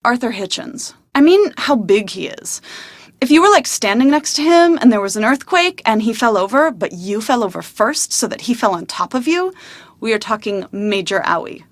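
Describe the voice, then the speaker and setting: A woman's voice: on the high side, big pitch swings, moderate pace, no echo, studio quality. A woman playing a dramatic, gossipy film crew member in an adventure game, telling stories in a chatty way. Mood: excited. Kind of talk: scripted adventure game dialogue.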